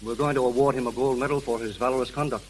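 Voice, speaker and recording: A male actor as a brisk, officious gentleman who talks very fast with formal, effusive politeness. It is an old radio play with faint hiss and crackle behind him. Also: mid-range voice, fairly even intonation, close to an everyday voice. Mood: polite, officious. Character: brisk, officious, overly polite foundation representative, adult man. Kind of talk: 1930s American radio drama acting. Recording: hissy, crackling 1930s radio recording.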